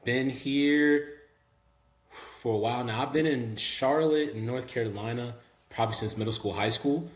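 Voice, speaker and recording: A young man from Memphis with a Southern accent, talking relaxed and chatty as a guest on a podcast, with many "um"s and "you know"s. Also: medium pitch, normal speed. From Memphis, Tennessee. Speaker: guest rapper. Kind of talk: casual podcast interview. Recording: echoey room with faint hum.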